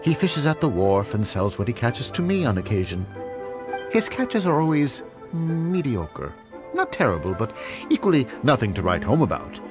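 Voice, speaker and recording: A man acts a genial older fishmonger in a video game. His mid-pitched voice rises and falls dramatically as he muses and chats without hurry. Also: music in the background, lightly acted. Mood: frank, helpful. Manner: musing, unhurried, expressive.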